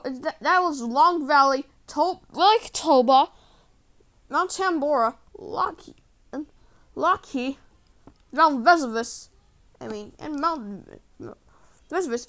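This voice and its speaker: A woman's voice: sits high, expressive, takes it slowly, a bit bright, exaggerated voice. A woman acting a flustered, anxious character in an amateur improvised drama, stumbling over words and correcting herself.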